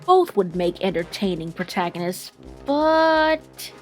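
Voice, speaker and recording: A woman's voice: mid-range voice, very animated, soft background music. A woman playing a sly, sinister vixen who muses playfully from the shadows in a fan audio drama. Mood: sly musing. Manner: sly, musing, drawn-out.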